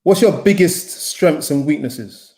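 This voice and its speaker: A man's voice: a little high, animated, measured pace, dark tone. A middle-aged man hosting a podcast interview over a video call, asking questions in an easygoing way. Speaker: podcast host. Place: home room with pale wall. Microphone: large studio mic in front.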